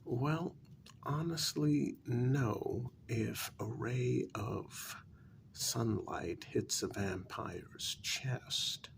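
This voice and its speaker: Guy doing a snooty vampire for asmr, super slow n croaky, soft breathy half whisper, formal and talks down to humans. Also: fairly low pitch, full character voice. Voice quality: breathy, gravelly near-whisper. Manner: slow, deliberate, formal, condescending. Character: haughty, dryly amused male vampire, predatory yet civil. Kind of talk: soft-spoken ASMR role-play.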